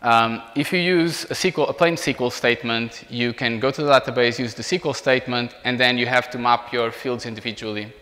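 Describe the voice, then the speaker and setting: A man in his thirties with a Portuguese accent, giving an informal technical presentation as a software engineer. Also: mid-range voice, steady tone, quick pace, hardly any pauses. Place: indoor meetup room beside a large screen. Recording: reverberant hall sound.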